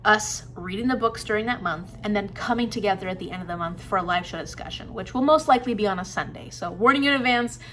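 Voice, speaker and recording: A woman's voice: mid-range voice, fast, hardly any pauses, pushed and bright. A young woman chatting casually in an upbeat, enthusiastic vlog, laughing often. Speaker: booktuber.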